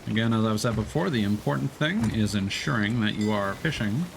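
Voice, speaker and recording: A man's voice: on the low side, expressive, a little fast, creaky, noisy background. A man casually commenting on his gameplay as a streamer, chatting through tips with many fillers.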